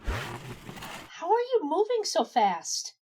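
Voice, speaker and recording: A woman's voice: high-pitched, huge pitch swings, extremely slow, darkish tone, slight background noise, full character voice. A woman playing a sensible, exasperated gnome who questions and organizes everyone in an amateur comedic fantasy audio drama. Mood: concerned. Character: exasperated but sensible female gnome taking charge.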